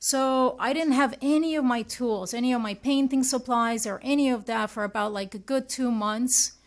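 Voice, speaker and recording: High-voiced Swiss-born woman chatting. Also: normal speed. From Switzerland. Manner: chatty, thinks aloud while answering. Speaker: guest artist. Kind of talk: casual interview chat.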